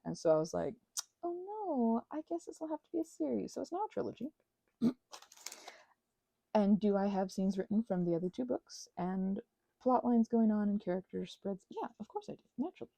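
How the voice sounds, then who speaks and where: Woman doing a casual rambly chat, soft dark tone, very dry close sound, animated and self-teasing. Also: medium pitch, fairly quick, creaky, dry recording. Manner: animated, self-mocking, digressive. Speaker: hobbyist creator talking to her audience.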